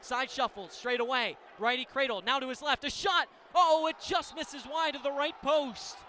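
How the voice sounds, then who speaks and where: A man with a very high-pitched voice calls lacrosse live on the radio. He describes the action in short, vivid running phrases, with clear game noise around him. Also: normal speed. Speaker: play-by-play announcer. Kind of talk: live radio sports commentary. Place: sports venue broadcast position. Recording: loud arena noise behind commentary. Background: loud game ambience.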